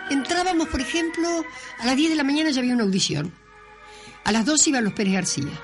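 A woman, a radio actress, reminisces in an interview about her working life. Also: medium pitch.

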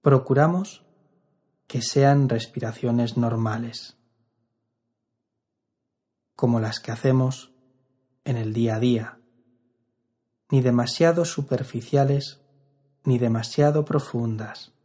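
Male meditation guide, very unhurried delivery, slightly breathy husky tone, calm and measured. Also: medium pitch. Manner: calm, measured, with long pauses. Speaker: meditation instructor.

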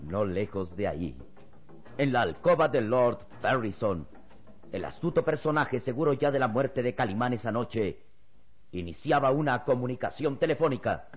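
A man's voice: medium pitch, lively intonation, moderate pace. A man narrating a radio serial in an emphatic, theatrical style full of suspense. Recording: dull old radio recording with music.

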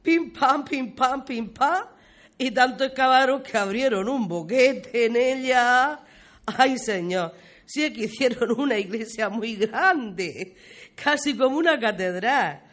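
A performer playing a talkative, fond old grandmother, reminiscing in folksy, expressive style in a community radio play. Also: medium pitch, measured pace. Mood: chatty, storytelling. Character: talkative, fond old village grandmother telling local history.

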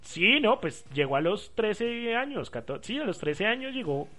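A man talking football casually with co-hosts, chatty and quick to laugh. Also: sits high, sing-song, sharp tone. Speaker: sports talk host. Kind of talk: casual sports chat.

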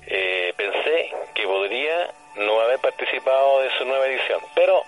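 A Chilean man with a mid-pitched voice speaks as a radio guest over the telephone. The line is thin and narrow. His delivery is conversational, polite and rather formal. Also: expressive, moderate pace, faint music behind. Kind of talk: conversational phone interview.

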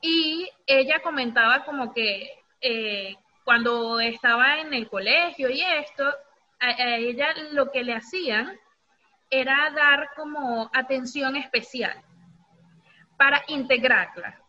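A woman with a Venezuelan accent talking casually, telling things in an animated way with acted-out quotes, on a dull lo-fi recording. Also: high-pitched, slow. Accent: Venezuelan Spanish. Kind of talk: casual conversational talk. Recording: dull lo-fi audio with faint noise.

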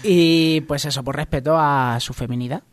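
A man's voice: on the high side, fairly even intonation, normal speed, no echo. Wry man co-hosting radio comedy. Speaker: radio show co-host. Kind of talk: comedic news banter. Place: radio studio.

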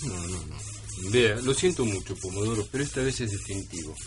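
An actor playing a paternal, excitable male newspaper editor, emphatic and theatrical, in a comic radio serial. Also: lowish voice, fairly quick, over music, lightly acted. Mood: regretful, consoling.

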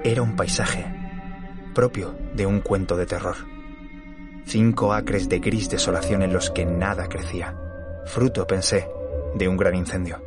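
An actor playing a weary surveyor who narrates an eerie horror tale in a measured, literary, sombre way. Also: male voice, low-pitched, fairly even intonation. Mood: grave, uneasy. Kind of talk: dramatic first-person audio drama narration. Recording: music behind the voice.

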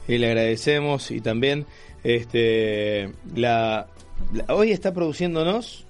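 A man with an Argentine accent hosting a conversational radio interview, often repeating words in a halting way as he goes. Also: medium pitch, lively intonation, clean tone, soft background music. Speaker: radio show host.

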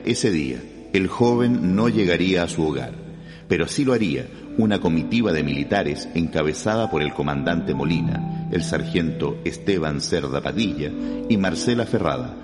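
A man giving solemn, scripted radio drama narration as a storyteller. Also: low voice. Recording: long reverb over prominent music.

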